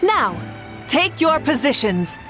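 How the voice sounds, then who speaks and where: Confident anime game chief, high voice, warm and rousing, music behind. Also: female voice, sing-song, slow, full character voice. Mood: rousing, assured. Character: commanding, inspiring female leader, warm and assured. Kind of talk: rousing ceremonial speech in a game.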